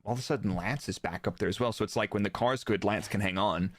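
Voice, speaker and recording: A man's voice: fairly low pitch, quick pace, no room sound, studio quality. A man giving casual, informal technical motorsport analysis as a guest expert in a live interview. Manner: explanatory, informal, illustrates with quoted remarks. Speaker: guest expert, former Formula 1 performance engineer.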